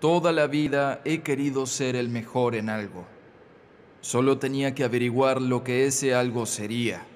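A man's voice: mid-range voice, moderate pace, slight background noise. Earnest middle-aged man, motivational voice-over. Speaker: motivational speaker.

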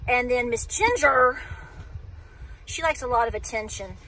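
A woman's voice: a little high, a little slow, sharp tone, a little hiss or hum, poor audio. A woman, chatting casually to a phone camera from inside a parked car, rambling and restarting her sentences as she talks. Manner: chatty, rambling, self-interrupting. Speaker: homestead vlogger. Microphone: phone camera at arm's length.

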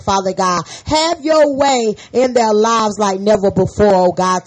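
A hoarse woman hosting a faith podcast, leading a fervent spoken prayer and an encouraging sermon full of repeated amens. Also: mid-range voice, poor audio. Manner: fervent, repetitive, peppered with amen. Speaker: podcast host and prayer leader.

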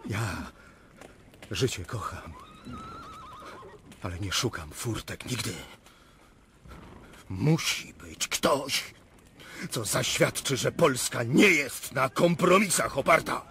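An actor playing a proud, anguished prince and wounded army commander in a radio drama, declaiming with passion. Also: male voice, medium pitch, lively intonation, slow, pauses often, sharp tone, slight background noise, heavily acted. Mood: grave resolve. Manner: impassioned, declamatory. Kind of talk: theatrical radio drama acting.

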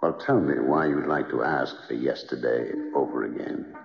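A man's voice: extremely low pitch, normal speed, creaky. An actor in a 1970s radio drama playing a mysterious, solemn male stranger who probes and tempts. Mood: solemn, probing. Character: mysterious male stranger offering yesterday back, solemn, probing. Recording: echoey muffled radio broadcast, music behind.

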